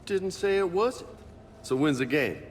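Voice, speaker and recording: Animated, brash soldier. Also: male voice, a little high, unhurried. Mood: joking, cocky. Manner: slangy, swaggering, Spanish words mixed in. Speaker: video game voice actor. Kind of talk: casual game dialogue acting. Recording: reverberant room, faint ambient noise.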